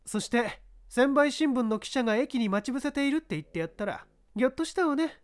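Male reader as brazen young woman, high pitch, lively intonation, sharp-tongued, defiant, audiobook drama. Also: brisk. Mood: brazen, scornful. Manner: haughty, sharp-tongued, feminine speech.

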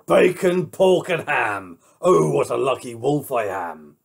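A storyteller voicing a greedy, boastful big bad wolf in a loud, blustering, drawn-out way for a children's tale. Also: medium pitch, lively intonation, gravelly, breathy edge, exaggerated voice. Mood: gloating.

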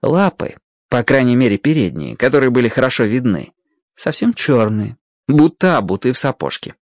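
Lively male storyteller, dry recording. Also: mid-range voice, moderate pace, no echo, dull audio. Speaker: audiobook narrator. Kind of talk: expressive scripted children's story narration.

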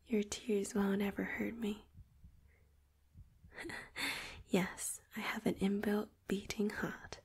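A woman voicing a devoted, possessive young female robot assistant in an ASMR roleplay, speaking in a soft half-whisper and calling the listener Master. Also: mid-range voice. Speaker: voice actor.

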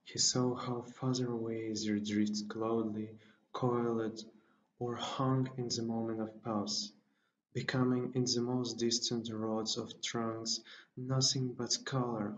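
A young man reads literary prose slowly in a flat, somewhat low voice. The recording is roomy and dull, with faint noise behind him. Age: young adult. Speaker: student reader. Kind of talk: reading literary prose aloud. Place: indoor room with bare wall.

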